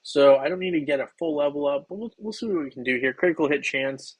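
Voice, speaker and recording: A slightly hoarse man hosting a game livestream, chatting loosely with viewers while he plays, with plenty of ums and restarts. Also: fairly high pitch, fast talker, low-quality recording. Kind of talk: casual livestream chat while playing.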